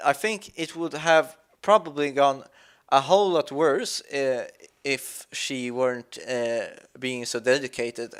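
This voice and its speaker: Slow-paced man, mid-pitched, halting and thoughtful, unscripted game commentary. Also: fairly bright tone, clean tone. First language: Swedish. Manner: halting, searching for words, thoughtful. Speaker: let's play commentator. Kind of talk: reflective gameplay commentary.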